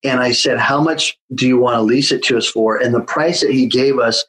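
Guy on an interview telling his story, lots of uhs and restarts, mid-pitched voice. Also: fairly quick, a bit bright, rough recording. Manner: storytelling, frequent fillers and restarts. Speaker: guest, children's home founder. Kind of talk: conversational interview.

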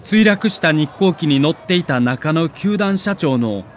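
A man with a somewhat high voice narrates an audio documentary as a sports reporter. His delivery is scripted, theatrical and emotive. A little noise sits behind the voice. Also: animated, a little slow.

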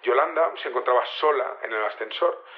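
An actor playing an earnest security chief who reports facts hesitantly over the phone in a radio fiction. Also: male voice, mid-range voice, fairly quick. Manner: hesitant, filler-laden, factual. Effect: phone line filter. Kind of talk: naturalistic audio fiction radio interview. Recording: tinny narrow phone line.

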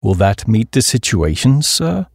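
A man's voice: lowish voice, big pitch swings, gravelly, no room sound, studio quality, heavily acted. Man playing an unflappable, formal English valet. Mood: deferential, composed. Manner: formal, measured, very polite.

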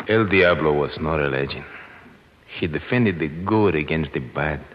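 An actor playing a loyal, anxious elderly Mexican servant with a Spanish accent and halting, deferential delivery in the style of 1950s radio drama. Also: male voice, a bass voice. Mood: earnest, revealing. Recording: noisy, muffled old 1950s radio recording.

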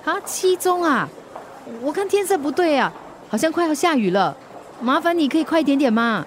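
An actress playing a harried, plucky working mother with a Singaporean accent, expressive and earnest in a radio drama. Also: sits high, normal speed, slight background noise. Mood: anxious, pleading. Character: harried, plucky working mother turned food delivery rider.

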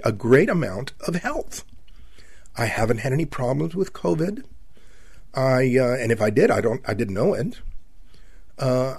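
A man in his seventies hosting a conservative radio talk show, folksy and halting, full of fillers and repeated words and often calling his listeners "my friends". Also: mid-range voice, a little mellow, breathy edge, no room sound. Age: 70s.

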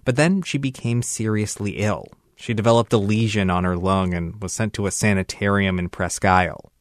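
A man reading a scripted radio news report in a measured, even delivery. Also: lowish voice, dry recording.

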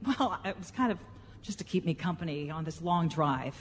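A woman's voice: lowish voice, expressive, moderate pace, natural voice. A woman playing a plucky, patient mother in a lighthearted radio play. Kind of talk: comedic live radio play acting. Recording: echoey theatre hall with audible noise.

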